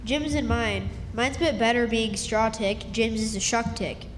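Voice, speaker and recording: A woman's voice: on the high side, fairly even intonation, unhurried, close to an everyday voice. A woman playing a young, plain-spoken country boy, matter-of-fact and rustic, in a stage radio drama. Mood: earnest, hesitant. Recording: noisy, echoey hall stage recording.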